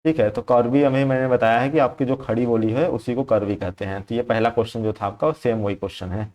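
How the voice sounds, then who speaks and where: Young male tutor, mid-pitched voice, chatty, repeats points and checks in often. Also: steady tone, fast talker, a little mellow. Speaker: exam prep teacher. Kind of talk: explaining exam questions to viewers.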